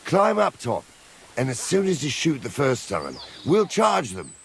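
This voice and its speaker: Wry captain, animated delivery. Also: male voice, mid-range voice, a little slow, vocal fry, exaggerated voice. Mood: brisk, practical. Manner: blunt, colloquial. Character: gruff, practical soldier captain, seasoned and wry. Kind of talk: video game cutscene acting.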